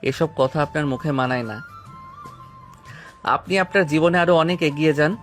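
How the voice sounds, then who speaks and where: Casting: man to play proud poor young employee, formal and distant, slightly high-voiced, audio romance over music. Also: fairly quick, close to an everyday voice. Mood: cold, bitter. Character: proud, hurt young poor male bodyguard, coldly formal. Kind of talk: romantic audio story acting. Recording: music behind the voice.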